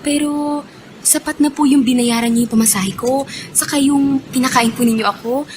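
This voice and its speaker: Radio drama casting: actress playing anxious young female runaway, higher-pitched, deferential, pleading; slightly noisy recording. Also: steady tone, moderate pace, darkish tone, lightly acted. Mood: humble, sorrowful. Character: timid young runaway factory worker woman, polite and anxious. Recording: clearly noisy radio drama track with ambience.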